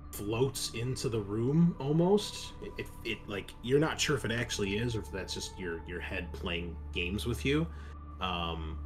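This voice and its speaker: A man narrating casually as game master, improvising in a halting way. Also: fairly low pitch.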